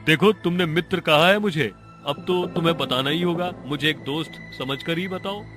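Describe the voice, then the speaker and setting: A timid young man in a cartoon horror story, acted out by a man. His voice is pushed high, urgent and earnest, with a high-strung edge, over clear background music. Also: normal speed, natural voice. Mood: concerned. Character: timid ordinary young man, frightened yet kindly friend. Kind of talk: Hindi animated horror story dialogue. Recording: music behind the voice.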